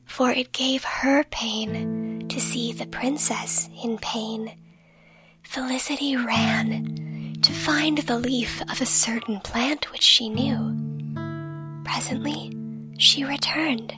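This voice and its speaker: A woman whispers a bedtime fairy tale in gentle ASMR style. Her hushed delivery is very slow and high in pitch. Soft plucked guitar plays behind her. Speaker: ASMR performer reading a bedtime story. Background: quiet plucked guitar music.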